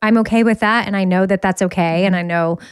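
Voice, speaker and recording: A woman in her early forties talks openly and reflectively in a relaxed podcast chat. Her pitch sits mid-range for a woman. The recording is close and dry, with no room sound. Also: fast talker, clear, resonant tone, no echo. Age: 40s. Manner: candid, reflective, talks about her own recovery. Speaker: podcast host. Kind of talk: casual podcast conversation.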